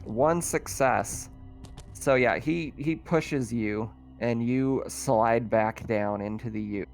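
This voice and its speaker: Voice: medium pitch, slow pace, faint music behind. A game master explaining rules and outcomes conversationally while running a tabletop roleplaying game.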